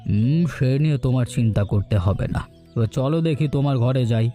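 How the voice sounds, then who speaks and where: A man playing a courteous older visitor from town in a cartoon folktale, speaking in a measured, formal way. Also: mid-range voice, warm tone, faint music behind, close to an everyday voice.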